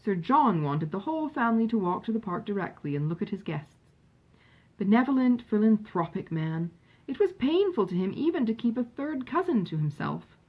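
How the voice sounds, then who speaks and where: A woman reading a classic novel aloud as an audiobook narrator, in an expressive, measured storytelling manner. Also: mid-range voice, husky, highs cut off.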